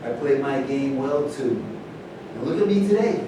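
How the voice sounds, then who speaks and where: A man plays a troubled, reflective golf champion in a staged reading. The mid-pitched voice comes from some distance in a very echoey room, with clear rumbling noise. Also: expressive, normal speed, lightly acted. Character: troubled, reflective champion golfer with a shattered private life.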